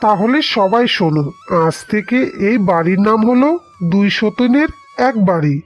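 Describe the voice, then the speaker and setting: A woman's voice: mid-range voice, animated, normal speed, faint music behind, lightly acted. Woman playing an earnest, humbled householder, muffled audio. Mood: earnest, grateful. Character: humbled, grateful man of the house in debt. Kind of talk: cartoon dialogue.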